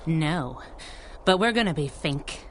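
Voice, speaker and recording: A woman's voice: low voice, animated, slow pace, slight background noise. A woman playing a cocky, taunting superheroine, wisecracking in a 1930s-style radio drama. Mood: teasing, menacing. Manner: teasing, wisecracking, 1930s slang.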